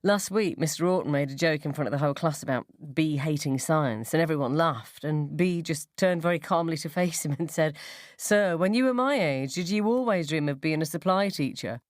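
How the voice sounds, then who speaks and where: A woman's voice: low voice, fairly quick, no echo, clean studio sound, lightly acted. A woman playing a wry, blunt, resentful mother of a rebellious daughter in a radio story. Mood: wry pride. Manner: blunt, sardonic.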